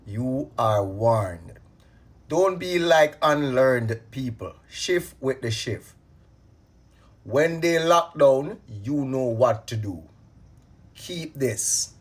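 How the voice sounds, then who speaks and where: A man's voice: mid-range voice, animated, very slow, slightly rough. A man speaking solemnly and prophetically, issuing stern warnings. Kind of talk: ominous warning speech.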